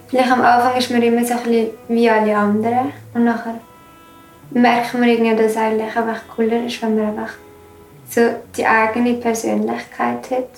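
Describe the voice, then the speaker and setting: High-pitched teenage Swiss German girl, roomy. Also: darkish tone, recorded from a distance. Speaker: interviewee. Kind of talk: casual reflective interview talk.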